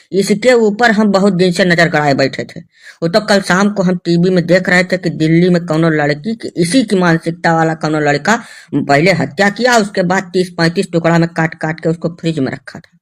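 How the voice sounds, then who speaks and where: Man acting an agitated, high-voiced village man. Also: fairly bright tone. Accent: North Indian rural Hindi. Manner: ranting, emphatic, rustic. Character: agitated, stubborn village man arguing at police station. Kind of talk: comedy cartoon dialogue.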